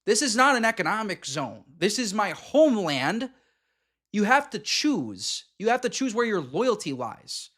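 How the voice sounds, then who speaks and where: A man with a notably high voice hosts a live reaction stream. He talks bluntly and emphatically, breaking off for quick asides to his viewers. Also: very animated, a bit bright. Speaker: streamer commenting on a clip.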